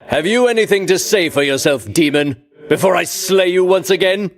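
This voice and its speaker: A man's voice: high-pitched, normal speed. An actor playing a pompous, vainglorious Greek champion in a video game, disdainful of his foes, speaking in grandiloquent, archaic phrases with theatrical delivery. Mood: haughty, taunting.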